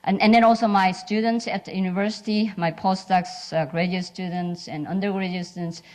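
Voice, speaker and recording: A middle-aged woman from South Korea with a Korean accent gives an informal conference talk in halting, non-fluent English with dropped articles. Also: mid-range voice, fairly even intonation, a little mellow. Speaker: scientist speaking at a symposium.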